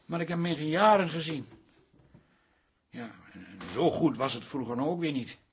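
An actor playing a bitter farmer's son from Twente in a radio play, sardonic and halting as he talks. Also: on the high side, animated, a little hiss or hum, lightly acted. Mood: wounded, resentful.

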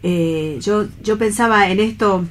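A woman with an Argentine accent talking earnestly and haltingly in a reflective radio discussion. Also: mid-range voice. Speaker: panelist on a radio theatre programme.

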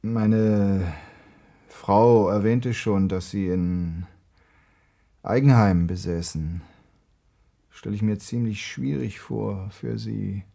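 A man's voice: fairly low pitch, slow, vocal fry, pauses often, dark tone, exaggerated voice. An actor playing a practical, guarded young married man in a German radio play, talking in a colloquial, matter-of-fact way. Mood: probing.

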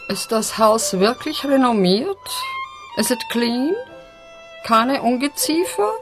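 A woman's voice: a little high, animated, slow pace, music in the background. An actress playing a fussy, imperious British lady collector in a radio play, with a grand, affected drawl. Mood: fussy, demanding. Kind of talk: German radio play acting.

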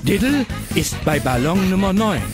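A man acting as a wry, friendly storybook narrator in a children's audio drama, with a playful, expressive delivery. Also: high voice, moderate pace, loud music behind the voice. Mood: amused.

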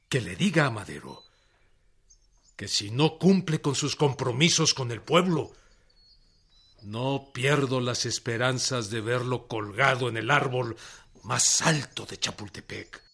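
Forceful, slow-talking revolutionary general. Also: male voice, medium pitch, husky, full character voice. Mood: defiant. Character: defiant revolutionary peasant general, adult man, stern and proud. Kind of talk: radio drama acting.

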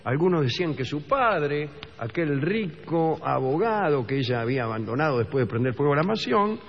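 A middle-aged man with an Argentine Rioplatense accent, hosting a radio show and telling a comic story in a wry tone, slipping into other voices for the characters. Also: mid-range voice. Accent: Rioplatense Spanish. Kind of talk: humorous radio monologue with banter. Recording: dull radio broadcast with long reverb.

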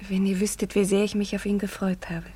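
A woman's voice: medium pitch, little pitch movement, brisk, dark tone, a little hiss or hum, close to an everyday voice. An actress playing a very young peasant girl in a German radio play, anxious and faithful, speaking earnestly and shyly. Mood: shy, disappointed. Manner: earnest, shy, wistful.

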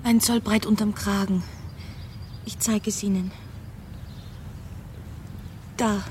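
An actress playing a frail, anxious young gentlewoman in a German radio drama, earnest and hesitant. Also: mid-range voice, steady tone, slow, slight background noise, close to an everyday voice. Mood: weak, uneasy.

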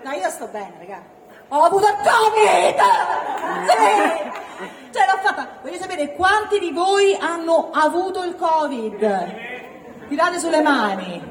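A woman's voice: very high voice, sing-song, a little slow. A woman doing playful, colloquial, mock-boastful stand-up chat with an audience. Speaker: stand-up comedian. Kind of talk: stand-up comedy, chatting with audience. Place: live indoor venue before audience. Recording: echoey hall, distant, noisy with crowd.

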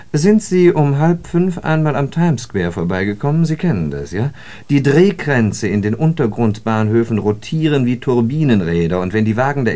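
An actor playing a courteous, worldly Parisian engineer telling an anecdote in a radio drama. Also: male voice, mid-range voice, close to an everyday voice. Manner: animated, courteous, worldly, pointed. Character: urbane Parisian male civil engineer, courteous raconteur. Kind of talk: radio drama monologue, storytelling.

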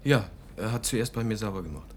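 An actor in a German radio play playing a polite, reserved, quietly uneasy writer in a measured conversational way. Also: male voice, lowish voice, lively intonation, slight background noise, natural voice. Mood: uneasy, guarded.

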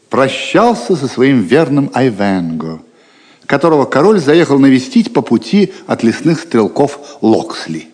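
A man's voice: medium pitch, a little mellow. A man giving dramatic scripted narration for an audio drama. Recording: long reverberant hall sound. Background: faint music.

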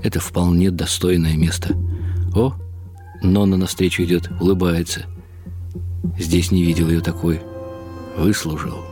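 An actor playing a wry, reflective elderly husband who narrates in the first person in short clipped phrases for a radio drama. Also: male voice, low voice, little pitch movement, unhurried, pauses often, warm tone, faint music behind.

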